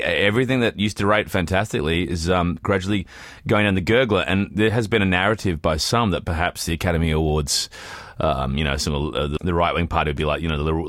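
A man's voice: deep voice, a bit bright, no echo, clean studio sound. A man co-hosting a podcast in casual, unscripted chat, explaining things and giving his opinions. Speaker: podcast co-host.

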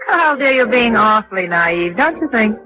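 Haughty wife in vintage radio drama. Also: female voice, a little high, big pitch swings, normal speed. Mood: breezy, dismissive. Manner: haughty, breezy, condescending. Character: cool, dismissive married woman ending an affair. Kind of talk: 1940s radio acting. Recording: dull vintage radio recording, faint music.

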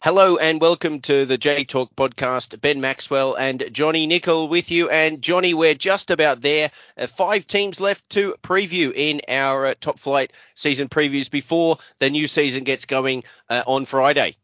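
A man hosts a podcast in a slightly higher voice, chatting casually and leaning on frequent "uh" fillers. The recording is dry and dull. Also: moderate pace, hardly any pauses, a bit bright, no room sound. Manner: hesitant, many "uh" fillers. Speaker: podcast host.

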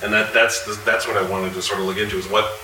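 A man chatting casually on a live panel, jokey, halting and repeating his words. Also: fairly low pitch, little pitch movement. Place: live convention panel by a restaurant window. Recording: distant echoey mic, noisy ambience.

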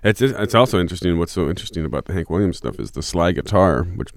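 Very low-voiced man, rambling interview guest. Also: lively intonation, fast talker, dry recording, studio quality. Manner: rambling, many restarts and fillers. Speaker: musician guest. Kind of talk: relaxed interview answers.

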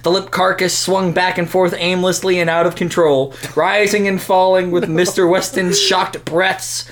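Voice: mid-range voice, flat delivery, moderate pace, crowd noise. A podcast host reading a crude comic story aloud in a theatrical, amused way. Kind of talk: dramatic comic reading of narration.

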